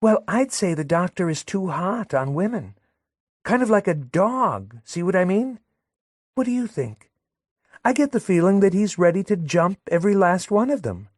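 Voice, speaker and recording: A man's voice: high voice, expressive, normal speed, dry recording, studio quality, voice pushed up. A man voicing a coy, jealous young woman who sweet-talks her lover and gossips with a catty edge.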